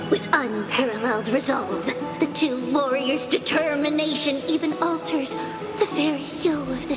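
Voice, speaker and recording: A woman's voice: high-pitched, exaggerated voice. A woman voicing a whimsical, grandiose storyteller who narrates a fantasy game in a playful mock-epic style. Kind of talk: scripted narration. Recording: music behind.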